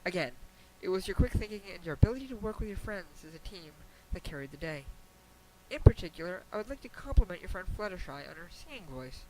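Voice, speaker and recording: A man reading as a high-voiced, regal pony princess. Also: expressive, a little mellow, rough recording. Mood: proud, wry. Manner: formal, measured, wry understatement. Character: regal, patient pony princess, female, gently admonishing her student. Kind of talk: dramatic reading of a fan fiction letter. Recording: hissy amateur home recording.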